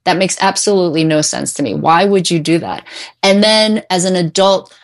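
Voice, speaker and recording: Female therapist guest, middle-aged, lowish pitch, insistent and opinionated, stressing points by repetition. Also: normal speed. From born in Korea, immigrated at age five. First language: English. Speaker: guest, mental health therapist. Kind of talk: opinionated conversational interview answers.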